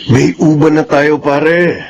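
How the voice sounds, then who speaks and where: A man's voice: a little high, takes it slowly, gravelly, highs cut off. An actor playing a jovial, proud middle-aged father chatting with his pal in a radio drama, with a Filipino accent. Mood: jovial, teasing. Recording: noisy radio drama recording.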